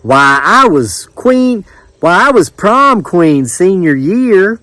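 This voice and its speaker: Man as a high, sassy small-town wife. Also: very animated, slow, pushed and bright, no echo, exaggerated voice. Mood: flirty, boastful. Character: brash, jealous small-town wife in her early thirties.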